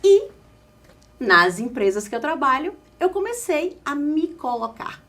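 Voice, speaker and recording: A woman with a drawling Pernambuco accent tells her own story as an interview guest. Her voice sits fairly high and swings widely in pitch as she leans on repeated phrases for emphasis. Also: very animated, unhurried, a bit bright. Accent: Pernambuco Brazilian Portuguese. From Caruaru, Pernambuco, Brazil. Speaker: guest, communication specialist.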